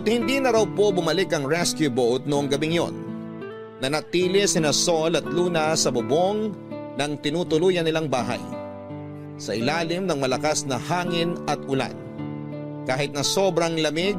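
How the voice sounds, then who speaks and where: A Filipino man with a fairly high voice narrates a radio drama. He reads the scripted story dramatically, with music clearly playing behind him. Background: background music.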